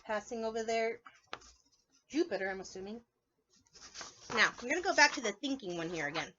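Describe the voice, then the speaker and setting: A woman's voice: a little high, animated, a little fast, bright, forceful tone, dull audio, a little hiss or hum. A woman preschool teacher reading aloud and leading a drawing lesson for young children, playful and encouraging, often asking her listeners questions.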